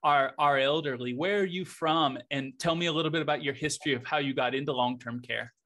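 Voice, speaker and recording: A man in his thirties from the United States, hosting a video-call interview and asking gentle, appreciative questions. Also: mid-range voice, fairly quick. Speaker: host. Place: home office indoors.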